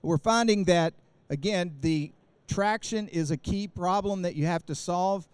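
A man's voice: high-pitched, measured pace, clear, resonant tone, dry recording. A man in his forties giving a technical product presentation at a trade show, speaking into a handheld microphone, explaining as he goes with many fillers and restarts. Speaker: company presenter. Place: large indoor trade show booth.

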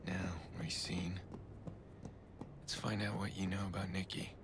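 An actor playing a grim, controlled vigilante hacker in a video game, muttering tersely in a hushed, gravelly voice. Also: male voice, deep voice, flat delivery, unhurried, noisy background. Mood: focused.

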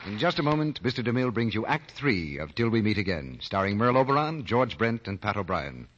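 A man's voice: lowish voice, sing-song, a little fast, a bit bright, a faint crowd, slight background noise. A man reading scripted announcements and commercials as a 1940s radio announcer. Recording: muffled, rough 1940 radio recording.

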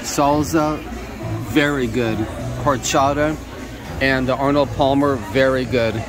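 A man's voice: medium pitch, fairly even intonation, slow pace. A man hosting a casual food review vlog from a restaurant table, chatty and upbeat, speaking from behind a handheld camera. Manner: upbeat, chatty, simple praise words. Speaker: vlog host. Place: busy restaurant dining room. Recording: restaurant music and crowd noise. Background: background music and diners chatting.

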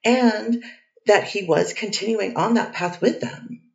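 A woman's voice: mid-range voice, a little slow, creaky, a little mellow. A woman reads as a poised defense attorney working through a cross-examination one careful question at a time. The voice sits far from the mic in a roomy, echoing space. The recording sounds dull and muffled. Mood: steady. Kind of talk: readers theater courtroom reading. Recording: dull distant echoey recording.

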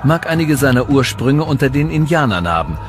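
A man's voice: medium pitch, very animated, brisk. A man giving scripted voice-over commentary in a historical documentary. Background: music.

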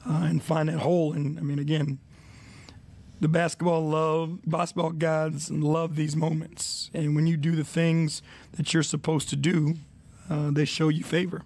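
A man in his forties, a head coach answering reporters at a postgame press conference, speaking into a desk mic in an arena press room, measured and reflective with many fillers. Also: fairly high pitch, flat delivery, gravelly, a little mellow.

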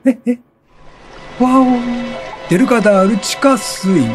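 A man's voice: high voice, a little slow. A man doing chatty, reactive let's-play commentary over a video game, repeating short exclamations. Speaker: gaming streamer. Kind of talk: excitable let's-play commentary, reading game text aloud. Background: video game music.

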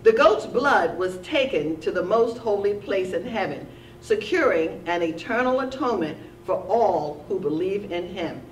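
A woman teaching a Sunday school Bible lesson at a church lectern, reading steadily and slowing down to stress key words. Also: mid-range voice, lively intonation, slight background noise. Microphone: lectern mic, filmed from a distant camera. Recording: roomy church sound from a distance.

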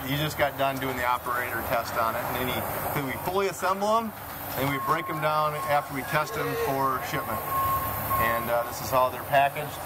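A man's voice: mid-range voice, steady tone, a little fast. A middle-aged man giving a walking tour of a factory floor, explaining the machines in a matter-of-fact way with frequent fillers. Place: large factory floor, indoors. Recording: loud factory machine noise behind.

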